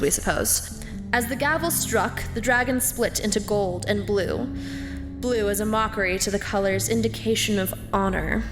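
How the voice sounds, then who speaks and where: A woman playing a scholar who reads fantasy lore aloud in a measured, solemn storybook manner for an audio drama. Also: mid-range voice, close to an everyday voice. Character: female scholar reading aloud from ancient tomes. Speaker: voice actor reading lore. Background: music.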